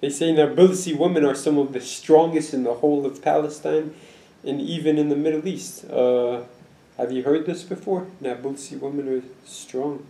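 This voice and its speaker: A man's voice: fairly high pitch, fairly even intonation, moderate pace, warm tone. A man interviewing a guest with probing, sometimes provocative questions. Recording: echoey room.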